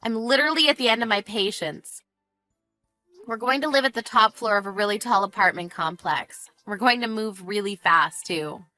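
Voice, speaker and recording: A woman's voice: medium pitch, moderate pace, bright, forceful tone, soft background music, natural voice. A woman voicing a fed-up, sarcastic wife with a cutting, smug manner in a narrated family drama. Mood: fed-up, firm. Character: fed-up, sarcastic young wife taunting her in-law.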